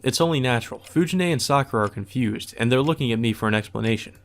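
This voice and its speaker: A man's voice: mid-range voice, fast, creaky. A man reading a visual novel aloud as a let's player, mixing plain narration with casual, wry asides. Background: music.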